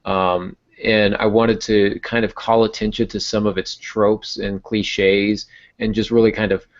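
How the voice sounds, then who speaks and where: A man's voice: on the low side, level tone, unhurried. A man talking casually on a podcast as a host and novelist explaining his work. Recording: dull lo-fi voice recording.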